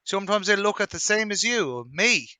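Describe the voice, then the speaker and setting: Radio drama: plain-spoken young working man man acting, chatty and colloquial, high-pitched, forward bright tone. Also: lively intonation, brisk, clean tone, no echo, exaggerated voice. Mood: chatty, opinionated. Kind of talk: radio mystery drama table read.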